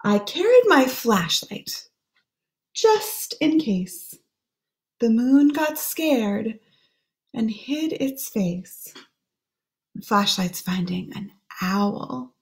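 A woman in her thirties gently reading a children's picture book aloud and explaining the pictures as she goes. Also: fairly high pitch, lively intonation, drawn-out words, pauses often. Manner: gentle, explaining pictures between read lines. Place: small room at home.

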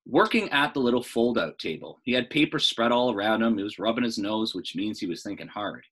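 A man's voice: lowish voice, expressive, a little fast, fairly bright tone, breathy edge. A middle-aged American man reading a story aloud like a teacher, expressively, with casual explanatory asides. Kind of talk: read-aloud storytelling with informal lesson asides.

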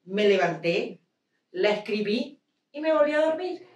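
A woman's voice: mid-range voice, expressive, unhurried. Venezuelan woman asking a question from the crowd, hesitant, far off mic, echoey hall. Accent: Venezuelan Spanish. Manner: hesitant, many restarts. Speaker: audience member. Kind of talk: question from the audience.

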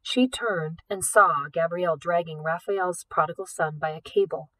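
A woman narrating a novel as an audiobook reader, with expressive delivery. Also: lowish voice, normal speed, no room sound, distant mic, poor audio. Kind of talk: expressive scripted fiction narration.

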